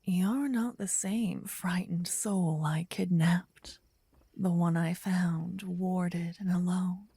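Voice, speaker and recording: A woman playing a gentle, possessive, lovestruck vampire in a soft, intimate, breathy ASMR whisper, close to the ear. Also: lowish voice, fairly even intonation, dry recording, exaggerated voice. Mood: teasing, possessive. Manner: intimate, slow, teasing. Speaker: ASMR roleplay performer. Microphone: close binaural ear-to-ear microphone.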